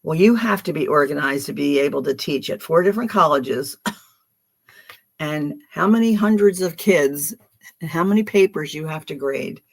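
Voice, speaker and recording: A woman's voice: deep voice, lively intonation, moderate pace, gravelly. A woman with a Philadelphia accent giving chatty, affectionate tarot card readings on a livestream, with frequent ums and restarts.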